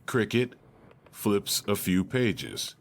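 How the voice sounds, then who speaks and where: A man's voice: on the low side, very animated, drawn-out words. A man narrating an audio drama, reading out scripted stage directions.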